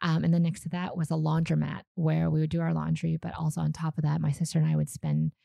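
Woman, 30s, from Canada, Vietnamese first language; deep, warm, slightly gravelly, dry close sound, casual storyteller. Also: quick pace, no echo. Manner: relaxed, chatty, frequent fillers and small laughs. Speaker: guest.

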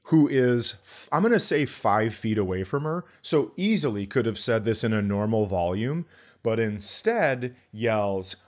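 A man hosting a comedy podcast, telling stories in an animated, grumbling, sweary way and acting out quotes. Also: on the low side, unhurried. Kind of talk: comic storytelling in casual podcast chat.

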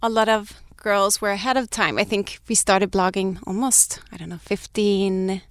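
Smooth-voiced woman raised in Sweden. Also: mid-range voice, fairly even intonation, moderate pace, no echo, clean studio sound. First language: Danish or Swedish. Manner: reflective, with frequent restarts and light laughs. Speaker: guest, fashion brand founder. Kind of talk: interview answers.

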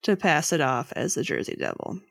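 A woman in her thirties with a raspy, gravelly voice co-hosts a casual podcast. She reads her notes in a relaxed way, close on a dry mic. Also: deep voice, fairly quick, dry recording. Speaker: podcast co-host.

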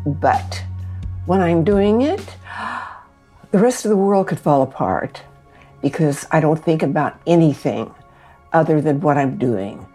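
An American woman in her eighties tells a personal story in a low, mellow voice. She takes her time and pauses between phrases, with faint music behind her. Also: animated, breathy edge. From Kansas City, United States. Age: 80s. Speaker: interviewee telling own story.

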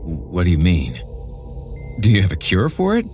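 A man's voice: low-pitched, wildly swooping pitch, moderate pace, a little mellow, faint music behind, pitched above the performer's own voice. A man voicing a bewildered, frightened hospital patient with an anxious, pleading manner in a horror story. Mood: confused.

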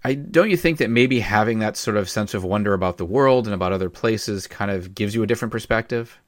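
A man's voice: lowish voice, fast, no room sound, clean studio sound. A man hosting a podcast, asking rambling questions with many restarts in a casual interview. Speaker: podcast host.

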